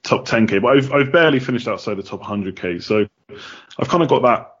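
A man's voice: medium pitch, animated, fairly quick, husky, lo-fi sound. A man from the United Kingdom answering casually as a podcast guest, chatty with many ums.

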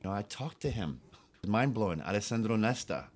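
Deep-voiced man chatting. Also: lively intonation, a little fast. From Geneva, Switzerland and United States. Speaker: podcast guest. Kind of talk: casual chat, telling life story.

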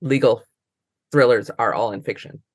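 A woman's voice: very deep voice, normal speed, no room sound, clean studio sound. A woman answering informally as a librarian guest in an interview, circling through her explanations with many false starts.

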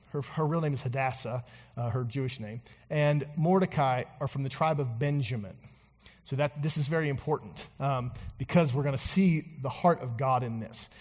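A man preaching a sermon in a church, talking conversationally with fillers and asides to the congregation. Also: medium pitch. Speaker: pastor. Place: church sanctuary. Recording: long hall reverb from a church.

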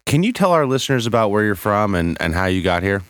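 A man's voice: fairly low pitch, big pitch swings, fast, a bit bright, no room sound. A man co-hosting an interview podcast, introducing and questioning in a conversational style. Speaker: podcast co-host.

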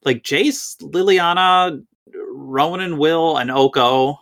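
A man co-hosting a casual podcast discussion, speaking enthusiastically and haltingly, often doubling his words. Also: on the high side, creaky, fairly bright tone. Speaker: podcast co-host.

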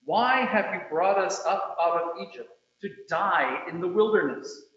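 In a very echoey room, a man with a rather high voice reads scripture aloud at a church service. His delivery is solemn and measured, taking its time over each phrase. The recording is a little dull. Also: fairly bright tone. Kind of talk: solemn scripture reading with short introductions. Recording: very echoey room, slightly muffled.

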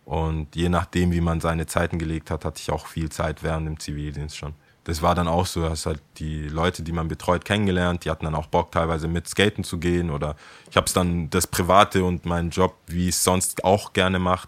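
A young man with a very low, deep voice tells stories in a loose, chatty way. The sound is close and dry, with no room around it. He laughs now and then while he talks. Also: no room sound. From Ghana. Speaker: guest, skate shop worker. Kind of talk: casual interview storytelling.

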